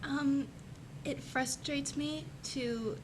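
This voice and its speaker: Young female panelist, fairly high and very even intonation, tentative, pausing to think, over clear rumbling noise. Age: teens to young adult. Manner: tentative, thinking aloud with pauses. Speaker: student panelist. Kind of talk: panel discussion answer.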